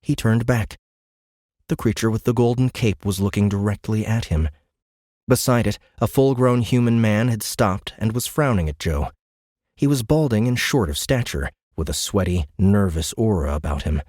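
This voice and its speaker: A man's voice: fairly low pitch, expressive, moderate pace, a little mellow, no room sound, clean studio sound. A man narrating a science fiction audiobook in a dramatic, scripted storytelling style.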